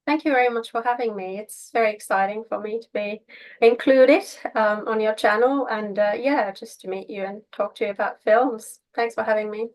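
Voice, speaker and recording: A woman's voice: on the high side, fairly even intonation, normal speed, rough recording. A woman from Finland, a native Finnish speaker, talking casually as an interview guest. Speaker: interview guest, filmmaker and actor.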